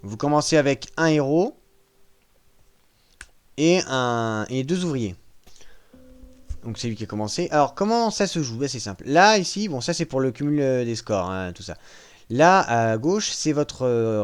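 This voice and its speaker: A man casually walking viewers through a strategy video game, joking at his own expense and pausing often with fillers. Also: medium pitch, clean tone. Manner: self-mocking, hesitant with frequent fillers. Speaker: gaming channel host. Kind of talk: casual gameplay walkthrough.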